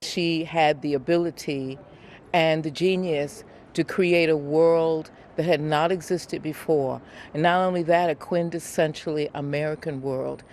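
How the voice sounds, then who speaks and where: A man's voice: on the high side, steady tone, measured pace. A man giving an emphatic comment in an interview. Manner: emphatic, admiring.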